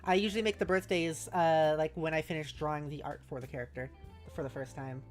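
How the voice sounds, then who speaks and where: A woman streamer chatting casually with viewers, giggly and halting as she thinks aloud and reads out messages. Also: low-pitched, steady tone. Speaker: streamer, host. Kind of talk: casual livestream chat, reading out viewer messages. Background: music.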